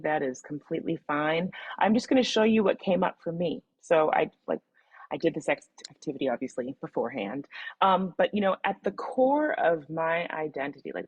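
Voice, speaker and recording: A woman from the Midwest leads an online workshop, talking participants step by step through a reflection exercise. Her voice sits low and has a slightly husky edge. The sound is dry and close, like a video call. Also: dry recording, rough recording. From Midwestern US, Michigan. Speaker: webinar presenter.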